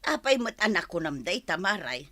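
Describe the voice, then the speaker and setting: A woman with an Ilocano accent playing an excitable, dithering, forgetful villager in a comic audio drama. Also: on the low side, normal speed, heavily acted. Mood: curious.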